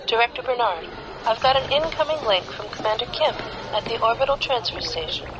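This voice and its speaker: A woman playing a deferential, dutiful aide in an audio drama, speaking politely and crisply. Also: on the high side, lively intonation, a little fast, dull audio, background noise, natural voice.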